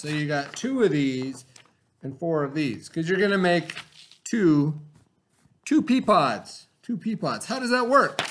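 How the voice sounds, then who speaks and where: A man in his forties teaches a craft lesson to young children over a webcam in his classroom. His voice sits a little high, and he walks through each step slowly and patiently, checking in with them as he goes. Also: a bit bright, a little hiss or hum. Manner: slow, step-by-step instructions to children. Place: classroom, indoors. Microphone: webcam at desk.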